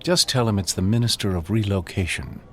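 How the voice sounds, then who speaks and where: An actor playing an imperious government minister in a radio drama, coldly formal and pressing. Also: male voice, lowish voice, brisk, a bit bright, close to an everyday voice. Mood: curt, haughty.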